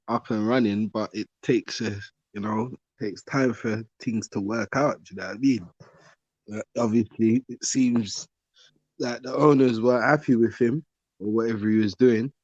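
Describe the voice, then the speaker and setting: A man's voice: mid-range voice, a little mellow, dry recording, muffled recording. A man chatting casually about football in slangy speech full of conversational tags. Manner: slangy, often tags phrases with "you know what I mean". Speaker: podcast panellist.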